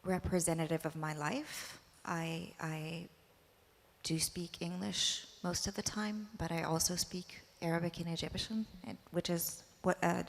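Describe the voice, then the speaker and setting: A woman's voice: lowish voice, fairly even intonation, a little slow, gravelly. A woman filmmaker on a panel, talking hesitantly with frequent fillers. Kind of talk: panel discussion answer. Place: museum panel stage. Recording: long hall reverb.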